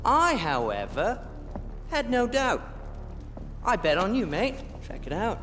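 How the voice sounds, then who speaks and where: An actor playing a cheeky, bickering British chancer in a comic video game cutscene. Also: male voice, high voice, big pitch swings, slow pace, sharp tone, faint music behind, full character voice. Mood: wheedling. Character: cheeky, fast-talking male chancer, bickering comic sidekick.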